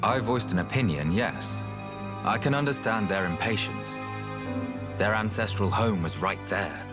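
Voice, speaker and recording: A weary, level-headed researcher in a game. His voice is low and understated, thoughtful and pragmatic, over a clearly audible music track. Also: lively intonation, a little slow. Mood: sober, resigned. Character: calm, measured male researcher, weary and pragmatic elder. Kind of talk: video game voice acting.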